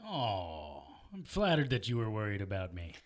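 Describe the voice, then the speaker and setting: A man's voice: fairly low pitch, wildly swooping pitch, moderate pace. A man playing a stubborn, wounded supernatural detective in an audio drama, wry and defensive in manner. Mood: reflective. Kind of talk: naturalistic audio drama acting.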